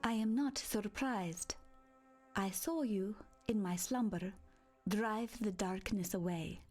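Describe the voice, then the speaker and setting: A woman voicing a gentle, mystical seer in a video game, calm and knowing. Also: medium pitch, takes it slowly, faint music behind. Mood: calm, apologetic. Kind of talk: video game character acting.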